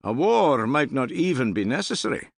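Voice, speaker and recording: A man's voice: medium pitch, sing-song, moderate pace, vocal fry, no room sound, studio quality, voice pushed up. A man voicing a persuasive Norse follower in an audiobook, speaking suggestively. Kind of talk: audiobook character dialogue.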